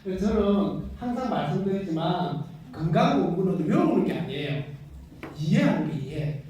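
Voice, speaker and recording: A man's voice: high voice, animated, normal speed, a crowd in the background. A man with a Gyeongsang Korean accent giving an unscripted health lecture to a live class. He chats freely, checks whether the class is following and laughs often. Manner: chatty, asks the class for agreement, laughs often. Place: lecture room with a live audience. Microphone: no working mic, heard from across the room. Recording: very echoey room, distant from mic.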